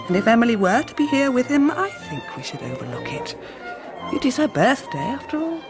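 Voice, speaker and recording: A woman's voice: high voice, sing-song, a little fast. Genteel English mother over music. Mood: placating. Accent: upper-class English. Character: genteel, indulgent Edwardian mother, slyly placating. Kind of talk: period radio drama acting.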